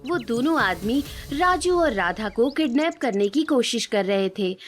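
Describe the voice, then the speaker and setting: A woman's voice: on the high side, expressive, unhurried, soft background music. A woman narrating a scripted children's cartoon story.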